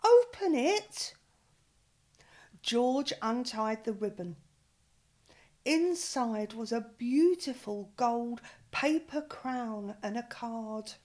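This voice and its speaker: A woman reading a children's picture book aloud in a gentle, expressive bedtime manner. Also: fairly high pitch, slow pace. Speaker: storyteller.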